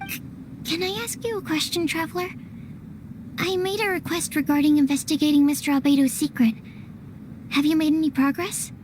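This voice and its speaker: A woman's voice: high-pitched, fairly even intonation, a little fast, long pauses, noisy background, natural voice. A woman playing a shy, earnest young female researcher in a video game, speaking in a hesitant, precise and formal manner. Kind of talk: animated game voice acting.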